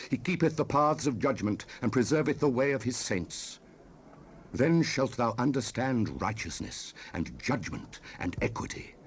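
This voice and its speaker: An actor playing a stern fatherly biblical sage, declaiming scripture solemnly in an archaic style for a dramatized audio Bible. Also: lowish voice. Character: stern fatherly biblical sage, exhorting and warning his son. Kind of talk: dramatized King James scripture reading, 1970s audio production. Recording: noisy raw cassette rip.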